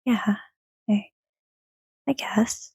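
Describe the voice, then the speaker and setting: A woman's voice: drawn-out words, darkish tone, dry recording, clean studio sound. A woman playing a thoughtful young daughter in an audio drama, speaking hesitantly and wistfully. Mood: hesitant, worried. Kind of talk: audio drama acting and narration.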